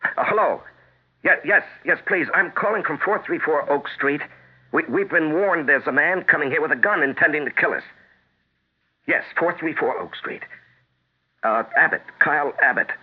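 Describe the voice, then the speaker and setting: An actor playing an urgent, protective husband in danger in a 1970s radio drama. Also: male voice, fairly high pitch, normal speed. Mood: alarmed, urgent. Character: urgent, protective husband under threat from a gunman. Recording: tinny 1970s radio recording, clear noise.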